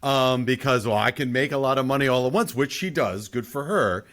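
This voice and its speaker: A man's voice: medium pitch, brisk, fairly bright tone. A man hosting a casual film discussion, giving emphatic opinions and repeating his points. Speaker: film podcast host.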